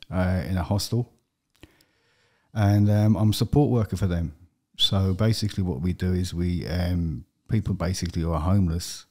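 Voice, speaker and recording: A middle-aged man from London with a London accent, a podcast guest telling his story plainly, with steady fillers. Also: sits low. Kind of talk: personal interview. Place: podcast studio with brick wall. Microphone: studio desk mic with headphones.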